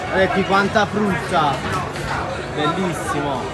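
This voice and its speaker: A man's voice: high voice, slow pace, loud music behind the voice. A man giving an enthusiastic walking tour of an outdoor street market, filmed on a handheld phone. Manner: enthusiastic, exclaims often. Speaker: travel vlogger. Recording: loud crowd and street noise.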